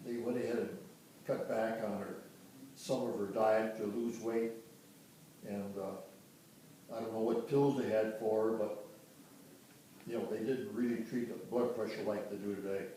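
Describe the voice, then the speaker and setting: An older man from Michigan recalling his family history in a casual, halting way, often restarting and correcting himself. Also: on the low side, steady tone, dark tone, a little hiss or hum. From Dearborn, Michigan. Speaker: interviewee recounting family history. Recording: roomy, distant home recording.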